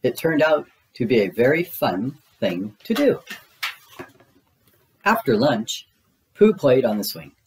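A man's voice: medium pitch, sing-song, takes it slowly, pauses often, rough recording. A man reading a children's storybook aloud as its narrator.

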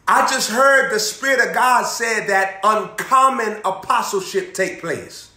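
A young man preaching an energetic sermon, repeating phrases and throwing questions to his listeners. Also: high-pitched, unhurried. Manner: animated, repetitive preaching with call-and-response questions, moving around. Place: indoor room in front of a banner backdrop. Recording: echoey room.

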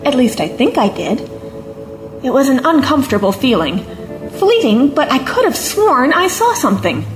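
A woman's voice: high-pitched, big pitch swings, normal speed. A woman playing a sceptical, outspoken companion to a time traveller in an audio drama, wry and questioning. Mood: uneasy, insistent. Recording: roomy echo, music behind.

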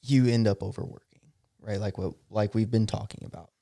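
Young man, gravelly low voice, podcast co-host, chatty and animated, dry close mic. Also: a little fast, no echo. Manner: chatty, animated, laughs easily, says "right?" often. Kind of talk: casual chat. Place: small home studio room. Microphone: boom-arm podcast mic.

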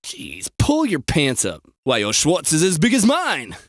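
Excitable guy, wildly swooping high voice. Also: unhurried, no echo, clean studio sound, exaggerated voice. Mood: sarcastic. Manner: exaggerated, wisecracking delivery. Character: excitable, sarcastic male American city bystander. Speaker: video game voice actor. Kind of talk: video game bystander barks.

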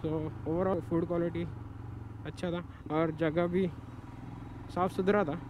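Indian biker guy vlogging a road trip, kinda high voice, takes his time, road noise everywhere. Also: little pitch movement, a little slow. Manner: mixes English time checks into casual Hindi. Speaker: motovlogger. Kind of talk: casual travel vlog narration. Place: outdoors by the road on a motorcycle trip. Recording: noisy roadside recording.

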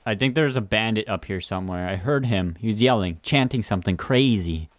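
A man's voice: on the low side, fairly quick, dry recording. A man talking casually with friends while they play a multiplayer video game. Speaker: gamer playing with friends. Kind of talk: casual multiplayer gaming chat.

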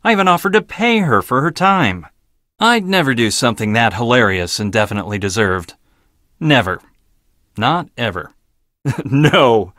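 Arrogant logical researcher, video game character, dismissive, pedantic, mid-pitched, lively intonation. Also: male voice, normal speed, long pauses, no room sound, studio quality. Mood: curt, defensive. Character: arrogant, blunt, logical male research scientist.

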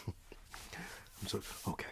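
A middle-aged man softly whispering in an ASMR roleplay as a friendly, chatty shopkeeper, close to the microphone. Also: drawn-out words. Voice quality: soft, mostly whispered. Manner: friendly, chatty, apologetic shopkeeper patter with repeated words. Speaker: ASMR roleplay performer playing a friendly candy shop owner.

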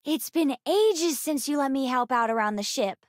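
Space-game kid: high-pitched, earnest, inquisitive girl with a cheeky streak, recorded dry. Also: animated, moderate pace, no room sound. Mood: eager, chatty. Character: bright, curious, bookish young girl aboard a spaceship. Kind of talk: video game character voice lines.

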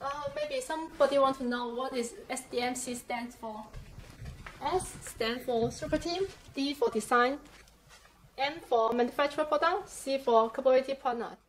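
A young woman guiding visitors through an office and factory, speaking haltingly with many fillers. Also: high-pitched. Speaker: company guide. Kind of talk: factory tour walkthrough. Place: office and factory floor, indoors. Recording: noisy factory and office background.

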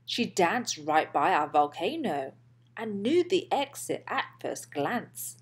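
A woman reads a picture book aloud for children with an animated, swooping delivery. She takes her time and stresses phrases deliberately. Her voice has a soft, breathy edge. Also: fairly low pitch. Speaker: host and children's storybook reader. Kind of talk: expressive read-aloud storytelling for children.

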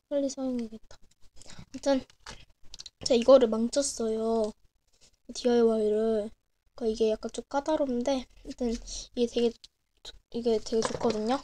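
A young woman with a slightly high voice, hoarse from a cold, clearing her throat again and again. She chats casually and corrects herself as she talks about her crafts. A faint hiss sits under the home recording. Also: darkish tone. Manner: chatty, frequent throat clearing and self-corrections. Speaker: miniature crafts vlogger. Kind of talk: casual show-and-tell narration. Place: small indoor room at a desk. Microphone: camera over a desk, speaker off screen.

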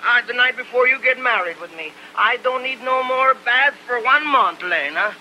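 An actor playing an excitable older immigrant farmer who speaks broken English with stammering exclamations, in old film acting style. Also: male voice, high voice, normal speed, slight background noise, heavily acted, rough recording. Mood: protesting.

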